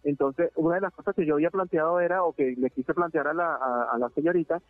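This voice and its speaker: A man from Venezuela speaks over a thin, tinny phone line. His voice sits a little high for a man, and he talks earnestly, stopping and restarting often with filler sounds. The sound is dry and close. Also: fairly even intonation, fast talker, dry recording, soft background music. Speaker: interviewee, deported migrant. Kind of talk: phone interview testimony.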